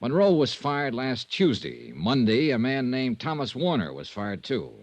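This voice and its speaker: An actor playing a dry, persistent insurance investigator, clipped and understated, in an old-time radio noir drama. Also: male voice, mid-range voice, huge pitch swings, unhurried, no echo, lo-fi sound. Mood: probing. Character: dry, persistent male insurance investigator narrating his case. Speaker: detective lead and narrator. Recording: vintage radio drama recording.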